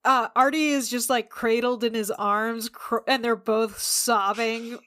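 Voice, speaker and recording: A woman's voice: a little high, fairly even intonation, measured pace, pushed and bright. A woman playing a tabletop game with casual improvised role-play.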